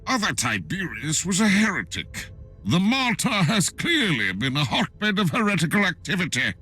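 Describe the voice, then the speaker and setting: Pompous rival inquisitor in a sci-fi game: haughty, forceful, highish voice, bold swoops. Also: male voice, huge pitch swings, moderate pace, husky, soft background music, exaggerated voice. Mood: imperious. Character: stern, haughty, zealous male rival inquisitor. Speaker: game character. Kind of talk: dramatic video game cutscene acting.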